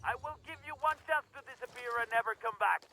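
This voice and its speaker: Female mercenary commander, game villain, high-pitched, piercing, threatening, contemptuous, slight noise. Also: fast, pushed and bright. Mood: cold menace.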